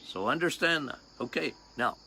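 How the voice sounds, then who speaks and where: An older man with a loud voice, a doctor hosting a health podcast outdoors, talking casually and emphatically, repeating key points and quizzing his listeners. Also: medium pitch, animated, normal speed, vocal fry, a little hiss or hum. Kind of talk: casual health talk monologue.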